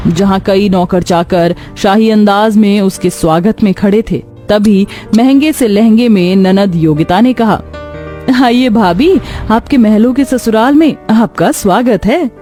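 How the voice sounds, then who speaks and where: A woman's voice: mid-range voice, dark tone. A woman narrating a scripted cartoon story.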